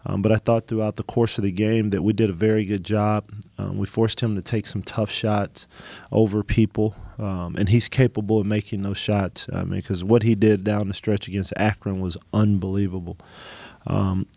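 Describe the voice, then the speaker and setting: A middle-aged man coaching basketball, answering reporters after a game, halting, with many ums and restarts. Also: on the low side, little pitch movement, gravelly, warm tone, no room sound. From United States. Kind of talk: post-game press conference answers.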